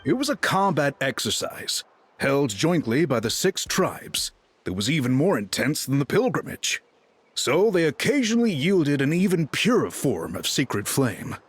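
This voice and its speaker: Learned, expressive game chieftain. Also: male voice, a little high, measured pace, breathy edge, heavily acted. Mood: thoughtful, explanatory. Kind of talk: video game character acting.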